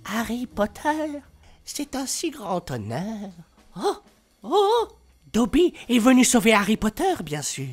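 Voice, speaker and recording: A man voicing a small, excitable, devoted fantasy house elf, earnest and fawning. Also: very high-pitched, takes it slowly, faint music behind, pitched above the performer's own voice, full character voice. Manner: third-person, fawning, earnest.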